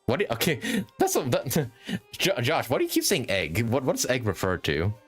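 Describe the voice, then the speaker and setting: Guy streamer chatting over a game, lively up n down voice, casual, chuckly, music in back. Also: mid-range voice, moderate pace, breathy edge. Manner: informal, chuckly, swears. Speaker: live streamer. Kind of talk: casual live game commentary.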